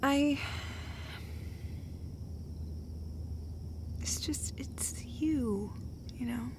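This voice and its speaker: A woman playing a caring, gentle girlfriend in an intimate roleplay audio, soft-spoken and hesitant, often slipping into a breathy whisper. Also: high-pitched, takes it slowly, background noise. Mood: hesitant, vulnerable. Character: caring, gentle, casually sweary girlfriend comforting her partner.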